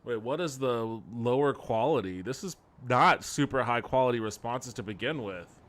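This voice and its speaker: A man's voice: mid-range voice, expressive, moderate pace, fairly bright tone. A man streaming a game with casual commentary, rambling and bluntly critical as he goes. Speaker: game streamer and podcast host.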